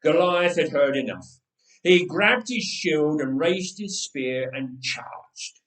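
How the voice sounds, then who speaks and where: A man reading a story aloud in an expressive, theatrical and deliberate way. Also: a little high. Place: echoey church interior. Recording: echoey room, distant from mic.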